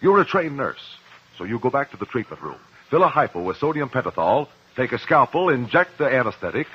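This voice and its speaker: An actor in a 1950s radio drama playing a principled cross-examiner, measured, articulate and pressing. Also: male voice, mid-range voice, sing-song, breathy edge. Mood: accusing. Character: probing, principled male courtroom cross-examiner. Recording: muffled vintage 1950s radio recording.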